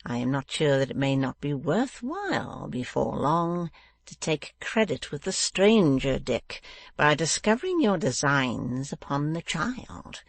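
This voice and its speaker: A man voicing a malicious male dwarf villain in a storybook, taunting and domineering, with slow emphatic menace. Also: a little high, sing-song, no echo, heavily acted. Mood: sly, scheming. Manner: menacing, emphatic, drawn-out delivery.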